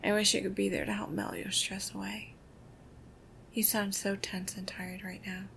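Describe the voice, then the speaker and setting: A woman doing a whispered ASMR girlfriend roleplay, soft, intimate and affectionate. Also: mid-range voice, level tone, moderate pace, vocal fry, slight background noise.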